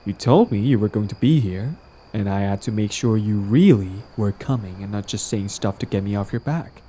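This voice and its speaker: A man's voice: lowish voice, normal speed, dark tone, a little hiss or hum, natural voice. A man playing a smug, possessive young villain in a romantic audio drama, talking in a casual, teasing, cajoling way. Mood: amused, teasing. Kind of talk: roleplay audio drama, conversational acting.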